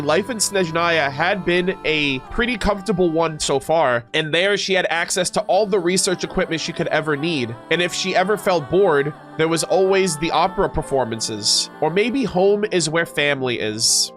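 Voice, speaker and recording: A man with a congested, stuffed-up voice, reading aloud and reacting casually and earnestly as a streamer, with emotion in his delivery. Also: on the high side. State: congested from a cold.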